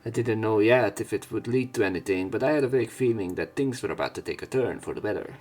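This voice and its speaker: Low-voiced man reading a visual novel. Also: fast talker, a little mellow, soft background music. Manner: casual, jokey asides between read lines. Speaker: let's play narrator. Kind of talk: reading visual novel text aloud with commentary.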